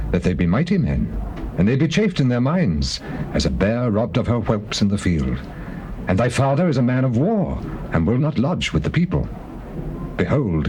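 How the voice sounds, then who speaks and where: An actor playing a shrewd royal counselor in dramatized scripture, oratorical and emphatic, on a hissy old cassette. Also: male voice, mid-range voice, a little mellow.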